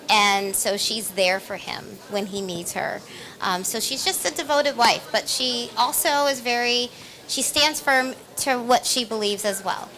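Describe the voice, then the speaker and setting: Woman, higher pitch, very slow pace, earnest interview guest. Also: bright, forceful tone, low-quality recording. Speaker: actress being interviewed. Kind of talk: explaining her role in an interview.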